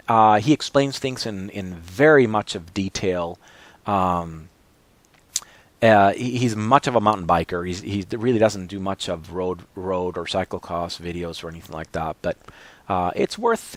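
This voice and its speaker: A man's voice: fairly low pitch, lively intonation, measured pace, no room sound. A man hosting a cycling channel, chatting casually and rambling with many fillers and restarts, in a voice he says people call boring. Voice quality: described as boring-sounding. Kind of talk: casual chat reviewing channels.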